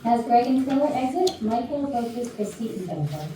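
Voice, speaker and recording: Woman narrator, slightly high pitch, reading stage directions, very echoey rehearsal recording, clearly noisy. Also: big pitch swings, a little fast, distant mic.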